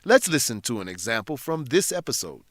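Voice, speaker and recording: A man narrating a scripted English lesson in a deliberate, instructive way. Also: medium pitch, big pitch swings, dry recording, studio quality.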